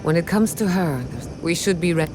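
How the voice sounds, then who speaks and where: A woman's voice: fairly low pitch, lively intonation, fairly quick, music in the background, lightly acted. An actress playing a guarded, thoughtful woman companion in a fantasy video game. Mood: wary. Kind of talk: video game dialogue acting.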